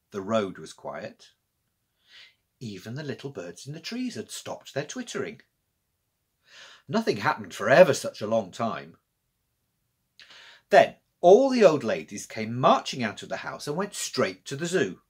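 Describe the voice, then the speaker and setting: Animated middle-aged man reading a children's story. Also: lowish voice, husky. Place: small room with bookshelves, indoors.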